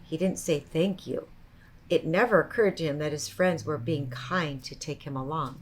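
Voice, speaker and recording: A woman storyteller reading a picture book aloud to children in an expressive, child-directed way. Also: deep voice, normal speed, breathy edge.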